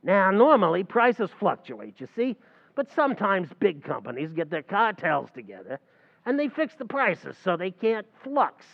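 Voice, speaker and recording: A brash New bartender lectures like a know-it-all and keeps mangling his big words, as a man plays him. His voice runs high and bounces all over, with a gravelly rasp. The sound is dull and lo-fi, like an old-time radio comedy. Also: big pitch swings, normal speed, full character voice. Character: fast-talking, know-it-all New York bartender Archie.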